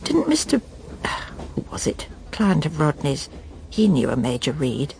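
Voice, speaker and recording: A creaky, murmured English inner voice. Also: female voice, sits low, very animated, a little slow, darkish tone, slight background noise, heavily acted. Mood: musing. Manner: confiding inner monologue, murmured, disdainful. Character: middle-aged Englishwoman's private thoughts, snobbish and judging. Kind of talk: radio drama inner monologue.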